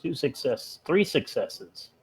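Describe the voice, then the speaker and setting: A man's voice: mid-range voice, very animated, slow, creaky, husky, no echo, low-quality recording. A man playing a tabletop game, chatting casually as one of the players.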